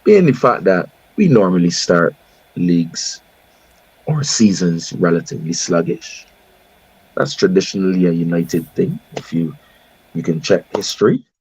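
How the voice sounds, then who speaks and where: A man with a Bajan accent, a podcast guest talking sport in a calm, wry, mock-solemn way. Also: low voice, unhurried, husky, poor audio. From Barbados. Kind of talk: casual sports chat.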